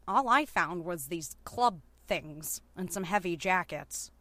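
A woman's voice: on the low side, lively intonation, slow, pushed and bright, full character voice. A woman playing a naive, puzzled zombie survivor in an amateur audio drama, with faint background noise.

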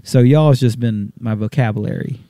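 A man's voice: mid-range voice, brisk, mellow, dry recording, clean studio sound. A man with a Southern American accent, co-hosting a casual chat with loose, joking banter.

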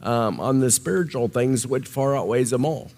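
A man with a Midwestern American accent and an average-pitched voice co-presents a Bible teaching. He talks informally and loosely, working out his points aloud with a halting, improvised flow. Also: a little mellow. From Nebraska, United States.

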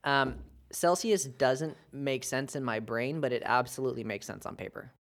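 A man's voice: medium pitch, moderate pace, creaky. An American man in his young adult years co-hosting a podcast, casual and self-deprecating with lots of fillers and laughs. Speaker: podcast co-host. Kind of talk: casual chat.

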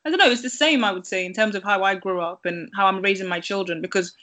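A woman's voice: mid-range voice, fast talker, a bit bright. A woman from Nigeria, a Yoruba speaker, chatting casually as a guest and rambling with many restarts. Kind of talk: casual chat.

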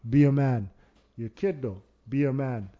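A man's voice: medium pitch, moderate pace, warm tone, lo-fi sound. A man hosting a casual podcast, asking teasing, probing questions. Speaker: podcast host.

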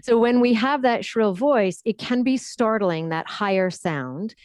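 Animated woman coaching on breath. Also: measured pace, no echo, clean studio sound. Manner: demonstrative, swings pitch high and low to illustrate. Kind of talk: explanatory interview talk with vocal demonstrations.

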